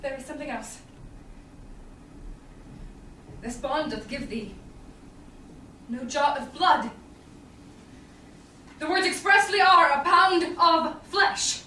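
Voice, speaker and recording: Woman as stern stage judge, formal declamation, bright voice, roomy noisy recording. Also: high voice, distant mic, exaggerated voice. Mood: commanding. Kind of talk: Shakespearean staged reading. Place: small indoor theatre stage. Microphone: distant audience camera.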